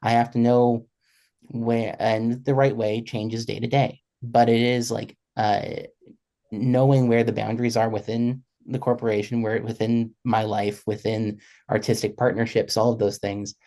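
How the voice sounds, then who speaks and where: A man's voice: mid-range voice, flat delivery, unhurried, dark tone. A man in his twenties speaking as a panelist in a reflective conversation, earnest and often correcting himself as he goes. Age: 20s. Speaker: panelist, freelance musician.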